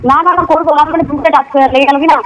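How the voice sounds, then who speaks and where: A young woman in a spontaneous phone conversation, explaining herself in halting, self-corrected phrases. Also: high-pitched, little pitch movement. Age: young adult. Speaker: student receiving a prank call. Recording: distant phone call, faint noise.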